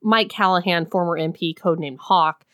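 A woman in a casual chat about a book, talking loosely with frequent laughs and sharp criticism. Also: lowish voice, moderate pace, a little hiss or hum. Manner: chatty, laughs often, critical. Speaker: podcast co-host.

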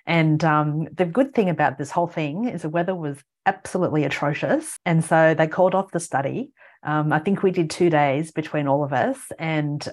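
A woman's voice: low-pitched, normal speed, darkish tone. An Australian woman, a leadership communication specialist, chatting as a guest and telling stories with frequent ums and easy laughter. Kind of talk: casual interview chat.

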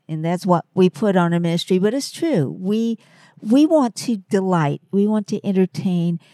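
A female co-host in casual, playful conversation. Her voice is warm and soft-edged, she takes her time, and she is recorded dry and close. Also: mid-range voice, no room sound. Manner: playful, jokey. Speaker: podcast co-host and writer. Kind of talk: casual chat.

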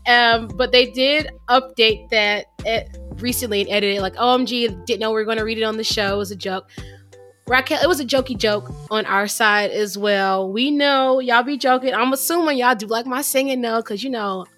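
Bright-voiced woman podcast co-host. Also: on the high side, normal speed, faint music behind. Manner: playful, laughs often, sings jokey intros. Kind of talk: casual podcast chat.

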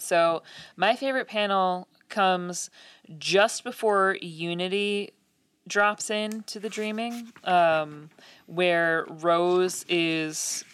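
Low-voiced woman, thoughtful chat. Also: fairly bright tone, smooth. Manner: thoughtful, many fillers and hedges. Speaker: podcast co-host. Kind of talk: casual podcast chat.

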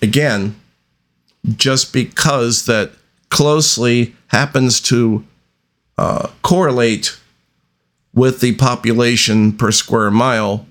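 Slow, deliberate man hosting a podcast. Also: medium pitch, a bit bright. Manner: deliberate, halting delivery with long pauses. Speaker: podcast host. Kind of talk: unscripted solo podcast monologue.

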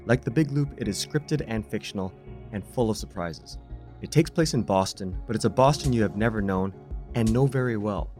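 A man's voice: fairly low pitch, moderate pace, darkish tone, faint music behind. A man speaking as a podcast host, giving announcements and personal recommendations to listeners.

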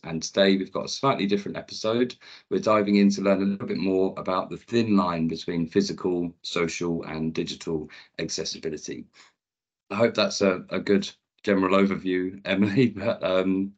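A man's voice: sits low, a little fast. A man hosting a podcast, friendly with many ums as he interviews a guest. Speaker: podcast host. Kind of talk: scripted podcast intro and interviewing.

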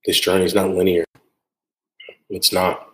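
A man's voice: deep voice, monotone, normal speed, a bit bright, roomy sound. A man hosting a solo podcast episode, talking earnestly and repeating phrases for emphasis, often adding "right?". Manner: frequent tag "right?". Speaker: podcast host.

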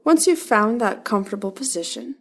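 A woman leading a guided body-scan meditation, giving calm, gentle instructions in short, spaced phrases. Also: mid-range voice, fairly quick.

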